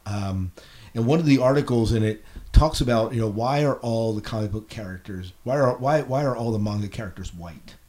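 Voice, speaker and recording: Low-voiced man hosting a podcast. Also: a little fast, darkish tone. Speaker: podcast host. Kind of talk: casual podcast discussion.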